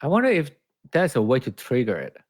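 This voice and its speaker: A man's voice: medium pitch, wildly swooping pitch, fairly quick, darkish tone, no echo, clean studio sound. A young man from Malaysia with a Malaysian accent, a podcast producer chatting playfully and filling his speech with "like". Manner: playful, chatty, many fillers. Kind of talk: casual podcast chat.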